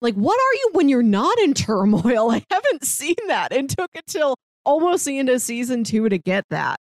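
A woman's voice: high voice, big pitch swings, moderate pace, no room sound. Enthusiastic woman chatting casually, dry close sound. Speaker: podcast co-host. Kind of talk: casual discussion of a TV drama.

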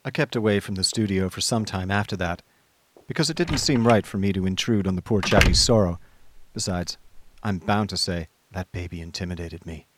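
Guy as a genial young gent narrating a radio play, lowish voice, old-timey chatty storytelling. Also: a little fast, noisy background, lightly acted. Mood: wry recollection. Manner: old-fashioned, conversational storytelling. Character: genial young gentleman narrator recalling his adventures. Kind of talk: scripted first-person radio drama narration.

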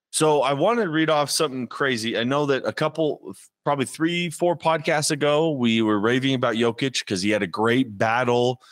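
A man co-hosting a casual sports podcast, joking and animated. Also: medium pitch, no room sound. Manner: joking, animated, puts on silly voices. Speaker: podcast co-host.

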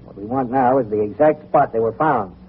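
Man as brisk police chief, noisy old radio. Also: mid-range voice, narrow, tinny audio, natural voice. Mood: brisk, commanding. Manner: clipped, businesslike. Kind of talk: old-time radio crime drama acting.

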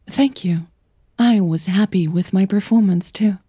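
Woman voicing a soft, smitten young opera singer. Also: mid-range voice, a little slow, slightly rough. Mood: shy, polite.

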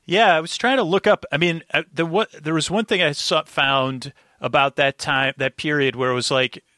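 A man talks casually as a podcast guest. His voice is bright and crisp-edged, recorded very dry. He rambles in stops and starts, often repeating a word before finding his way on. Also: on the high side, steady tone, no echo. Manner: rambling, halting, stutters over words, circles back. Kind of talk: casual conversation, recapping an old radio show.

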